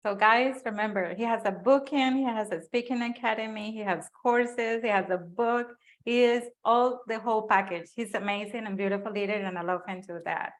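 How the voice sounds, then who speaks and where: A woman's voice: medium pitch, moderate pace, hardly any pauses. A woman with a Spanish accent, a native Spanish speaker, hosting an interview with enthusiastic praise. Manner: warm enthusiastic praise, mixes casual phrasing. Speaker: host and business coach.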